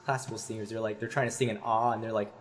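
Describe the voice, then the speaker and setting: A man, a voice teacher on a video call through a headset, explaining singing technique with many fillers and pauses. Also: fairly low pitch, level tone. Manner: thoughtful, hesitant with many fillers, demonstrates sung vowels.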